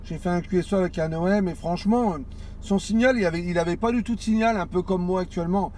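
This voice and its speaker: A man of about forty with a rather high voice talks casually over CB radio. He rambles a little and repeats phrases as he goes. A faint noisy hum sits behind him. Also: quick pace, a little mellow. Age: around 40. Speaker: amateur CB radio operator. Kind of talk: casual radio contact chat.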